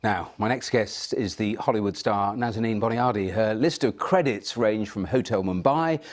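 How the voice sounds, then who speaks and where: A man interviewing a guest on television, with polished, measured introductions. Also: fairly low pitch, faint music behind. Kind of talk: broadcast interview.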